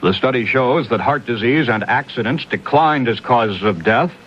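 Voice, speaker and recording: A man's voice: mid-range voice, lively intonation, moderate pace, a bit bright, dull audio, a little hiss or hum. A man working as a radio newscaster, reading a scripted 1970s news bulletin on an old AM radio recording.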